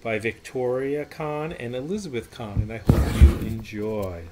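A man reading a children's picture book aloud in an expressive, emphatic way. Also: medium pitch, measured pace, noisy background. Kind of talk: children's storybook read-aloud. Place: small indoor playroom.

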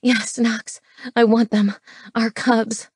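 Passionate shifter heroine, voiced by a woman narrator: soft, breathy, yielding, close dry audiobook sound. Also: a little high, flat delivery, slow, no room sound. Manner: gasping, breathy, soft delivery. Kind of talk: romance audiobook character voice.

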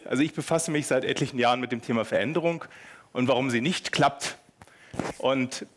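A man, giving an informal, playful conference talk and putting questions to his listeners. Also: mid-range voice. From Germany (Hanover). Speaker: keynote speaker, professor. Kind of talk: lively conference talk to an audience. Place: large hotel conference room. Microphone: headset.

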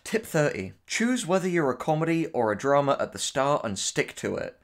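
A man's voice: on the high side, very animated, moderate pace. Dry, sardonic man commentating. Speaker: co-host and writer. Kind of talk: casual commentary, reading tips aloud.

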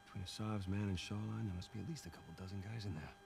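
An American actor playing a wry, talkative middle-aged male adventurer with a gravelly voice in an action video game. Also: lowish voice, steady tone, very fast. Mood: wry, concerned. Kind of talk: cinematic action game dialogue. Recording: game ambience noise behind voice.

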